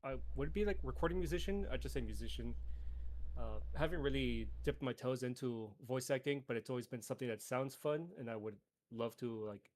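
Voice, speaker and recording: A man with a mid-range voice speaks casually about himself. His delivery is halting and hesitant, with frequent stops and starts. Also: mid-range voice, darkish tone. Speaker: musician. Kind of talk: casual self-introduction.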